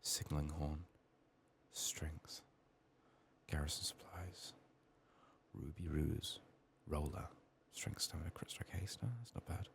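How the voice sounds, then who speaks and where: Very deep, soft-spoken ASMR man. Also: little pitch movement, slow pace, vocal fry. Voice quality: soft-spoken, often dropping to whispers. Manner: murmured thinking aloud. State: sick, strained voice. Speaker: ASMR gameplay streamer. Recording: faint noise, keyboard typing and clicks. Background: keyboard typing, clicking, faint noise.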